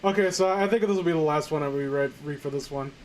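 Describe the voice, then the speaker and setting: A man reading fan fiction aloud casually, breaking off with sarcastic, halting, sweary commentary. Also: fairly high pitch, a little mellow, a little hiss or hum.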